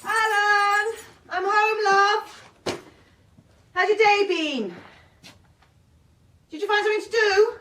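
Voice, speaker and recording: An echoey, distant recording of a wife in a radio drama. Her voice is very high as she calls out through the house. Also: slow pace, pauses often. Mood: calling, mildly irritated. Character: nagging wife arriving home, calling to her husband. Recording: echoey room, off-mic, faint background noise.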